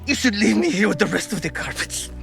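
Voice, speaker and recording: An actor playing a scared, self-loathing pilot in a video game, speaking with bitter anguish as if breaking down. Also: male voice, sits high, animated, quick pace, creaky, music in the background, exaggerated voice. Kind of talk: dramatic video game cutscene acting.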